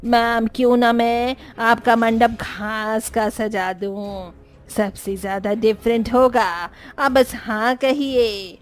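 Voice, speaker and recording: A woman's voice: fairly high pitch, steady tone, slow pace. A woman voicing an eager, persuasive witch wedding planner in a horror-comedy cartoon.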